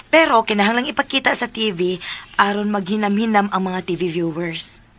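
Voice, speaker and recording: Bright-voiced, patient young woman, played by an actress. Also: mid-range voice, fairly quick, close to an everyday voice. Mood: patient, instructive. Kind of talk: radio drama acting.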